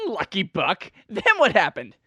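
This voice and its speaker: A woman's voice: mid-range voice, huge pitch swings, slow, pushed and bright, breathy edge, no room sound, studio quality, heavily acted. Woman playing a brash, swooping, cocky young stallion. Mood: excited, teasing. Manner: boisterous, slangy, teasing. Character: brash, teasing, cocky young male pegasus pony. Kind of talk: fan fiction audio drama.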